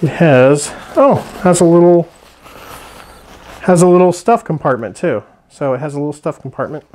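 A man's voice: on the high side, moderate pace, a little mellow. A man hosting a hiking channel, reviewing a sleeping bag in a loose, rambling way with many restarts and fillers. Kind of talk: casual gear review.

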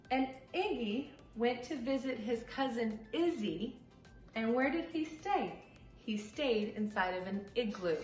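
A middle-aged woman preschool teacher reading and teaching to young children in a clearly enunciated, sing-song way, recorded in a room from a few feet away. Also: on the high side, fairly bright tone, reverberant. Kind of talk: children's story reading and letter lesson. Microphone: camera a few feet away.

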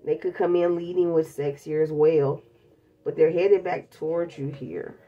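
A woman's voice: low-pitched, steady tone, normal speed, warm tone, muffled recording. A woman with a stuffed-up cold, chatting bluntly and casually through a tarot reading, calling her viewers babies. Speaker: tarot reader. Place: indoors at a table covered in tarot decks.

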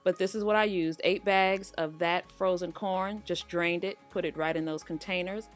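A woman hosting her cooking channel in a relaxed, chatty voice-over, talking straight to her viewers. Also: fairly low pitch, moderate pace. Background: faint background music.

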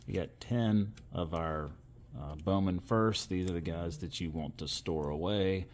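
A man's voice: sits low, a little slow, a little mellow, slight background noise. A man hosting a casual video, giving running commentary as trading cards are opened. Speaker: host of a card-opening channel.

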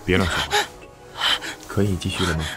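A man's voice: sits low, steady tone, fairly quick, fairly bright tone, soft background music. A man playing a composed, teasing, dominant lover in an audio drama, speaking tersely and intimately without hurry. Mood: tender, composed. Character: composed, teasing, dominant male lover in ancient-style romance. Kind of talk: Chinese audio drama acting.

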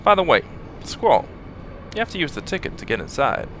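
A man voicing a brash, excitable young male fighter in a game fan dub, bursting with enthusiasm. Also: on the high side, a little fast, slight background noise. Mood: excited. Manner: enthusiastic, boastful.

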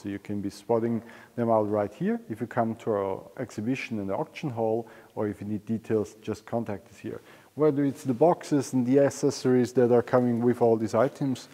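A man presents to camera in an enthusiastic, informal way. His voice is mellow and relaxed, at an average pitch. It rings with the long reverb of a large indoor hall. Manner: enthusiastic, informal, non-native English phrasing. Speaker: auction house presenter. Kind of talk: semi-scripted promotional explainer to camera. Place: large indoor auction hall and storage room.

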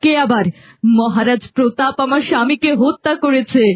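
A woman's voice: high-pitched, normal speed, no echo. A woman voicing a grieving, vengeful serpent maiden in a fairy-tale cartoon, dramatic and pleading. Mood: bitter grief.

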